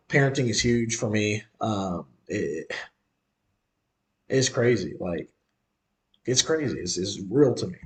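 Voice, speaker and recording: A man in his thirties hosting a podcast, talking in a casual, slangy and emphatic way and often repeating himself. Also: fairly low pitch. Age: 30s. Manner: conversational, emphatic and repetitive, slangy. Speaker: podcast host. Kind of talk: casual monologue.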